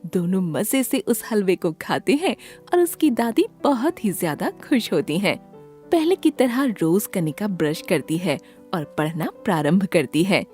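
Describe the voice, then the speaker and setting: A woman's voice: medium pitch, dark tone. A woman narrating a scripted children's story as the storyteller.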